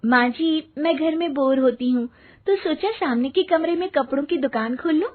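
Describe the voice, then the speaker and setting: A woman's voice: high-pitched, moderate pace, natural voice. A woman voicing an eager, easily flustered young daughter-in-law in an acted moral story. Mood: excited. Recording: muffled, phone-like narrow band.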